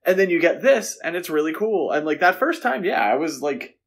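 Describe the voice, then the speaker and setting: A man's voice: a little high, sing-song, a little fast, creaky. A man co-hosting a podcast, chatting casually about films with conversational, wry asides. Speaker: podcast co-host. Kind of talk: casual film discussion.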